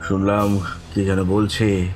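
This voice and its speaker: An actor playing a bewildered, frightened man telling a village ghost story in the first person, with a halting, suspenseful, dramatic delivery. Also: lowish voice, completely flat delivery, darkish tone, faint music behind. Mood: tense, suspenseful. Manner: halting, breathy repetitions, suspenseful, pleading in dialogue.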